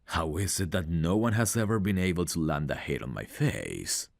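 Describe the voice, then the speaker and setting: Man acting a very deep, confident, flirtatious fighter. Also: lively intonation, vocal fry, no room sound, studio quality, exaggerated voice. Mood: confident, teasing. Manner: slow, deliberate, teasing and intimate. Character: big confident champion fighter, smooth, flirtatious, guarded about his past. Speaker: voice actor. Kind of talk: intimate ASMR audio roleplay.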